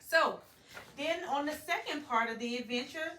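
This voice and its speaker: A woman telling a story to a young child as a mother, animated, prompting with questions and repeating the answers. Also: high-pitched, moderate pace. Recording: roomy, distant home recording with faint noise.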